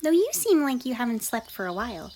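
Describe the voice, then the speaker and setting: A woman voicing an affectionate, bubbly young cartoon pony in an ASMR roleplay, with a squeaky, breathy voice and a theatrical, tender manner. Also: very animated, brisk, darkish tone. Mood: playful concern. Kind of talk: ASMR fan fiction roleplay. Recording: noisy, birdsong and ambience behind.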